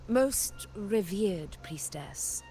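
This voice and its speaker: A woman voicing a proud, confident warrior ruler in a video game, with a measured, assured and theatrical delivery. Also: mid-range voice, lively intonation, warm tone, a little hiss or hum. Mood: confident, teasing. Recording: music behind the voice.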